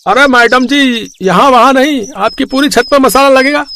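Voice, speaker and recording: An actor playing a pushy, blunt roof-repair mason in a scripted moral story. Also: male voice, very high voice, moderate pace, bright, forceful tone, heavily acted.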